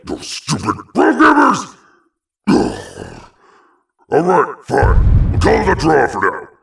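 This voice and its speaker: Gravelly, brash cartoon game villain. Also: male voice, a little high, wildly swooping pitch, slow pace, fairly bright tone, far from the mic, heavily acted. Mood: exasperated. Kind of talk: cartoon game parody voice acting.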